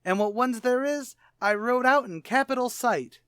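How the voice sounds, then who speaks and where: An actor playing a brash, streetwise tavern manager with cocky, ungrammatical patter, in old-time radio comedy style. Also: male voice, very high voice, moderate pace, fairly bright tone, smooth, no room sound, studio quality. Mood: pushy, cocky. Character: brash, fast-talking, malapropping male tavern manager.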